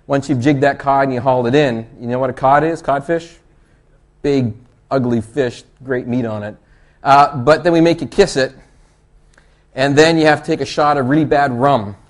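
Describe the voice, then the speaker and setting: A man with a Canadian accent from the East Coast giving a chatty, joking conference talk full of rambling, self-deprecating asides. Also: medium pitch. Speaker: conference presenter.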